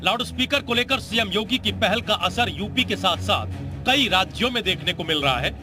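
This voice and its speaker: A man with a high voice narrates a news report. His delivery is scripted, in the manner of a TV reporter, and music plays clearly underneath. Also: lively intonation, normal speed.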